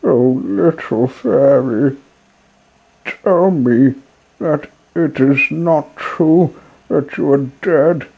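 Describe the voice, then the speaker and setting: A man's voice: a little high, drawn-out words, vocal fry, lo-fi sound, noisy background, pitched above the performer's own voice, full character voice. A man voicing a grieving young wooden puppet boy, pleading and tearful, in a storybook reading.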